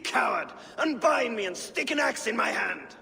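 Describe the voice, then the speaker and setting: Raspy, high-pitched raging Viking warrior. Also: male voice, sing-song, moderate pace, slight background noise, exaggerated voice. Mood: enraged. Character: hot-headed captive Viking warrior, loud and defiant. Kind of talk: video game cutscene acting. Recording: loud game music behind.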